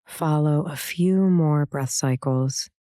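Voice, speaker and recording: A woman leading a guided meditation, giving calm, gentle, soothing instructions with pauses between phrases. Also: sits low, no echo, clean studio sound. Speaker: meditation guide, yoga teacher.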